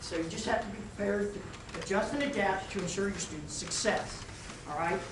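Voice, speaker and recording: A woman presenter who is unwell and a little raspy, lecturing teachers at a workshop with jokes and direct asides to the group. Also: mid-range voice, a little fast. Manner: joking, addresses the group directly. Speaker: training presenter. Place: school meeting room. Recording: roomy, distant mic, clear noise.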